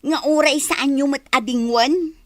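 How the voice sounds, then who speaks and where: A woman's voice: high voice, unhurried, a bit bright, no echo. A woman with an Ilocano accent playing a worried, nagging village wife in a radio comedy drama. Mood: scolding.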